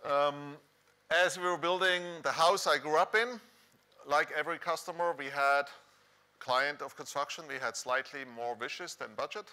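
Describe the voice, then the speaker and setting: Middle-aged male conference speaker, Swiss accent, bright forceful voice, conversational delivery. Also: a little high, moderate pace, clear, resonant tone. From Switzerland. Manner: conversational, rhetorical questions to the audience. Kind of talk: conference presentation. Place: large dark conference hall stage, indoors.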